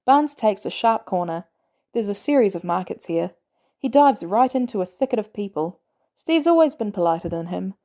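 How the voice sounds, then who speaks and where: A woman reading fiction aloud as an audiobook narrator, in an expressive storytelling style. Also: mid-range voice, moderate pace. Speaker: audiobook reader.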